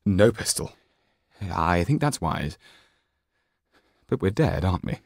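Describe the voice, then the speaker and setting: A man voicing a nervous, flattering male stage magician in a raised, fluttery voice. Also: low-pitched, takes it slowly, no echo, clean studio sound, heavily acted. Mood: frightened.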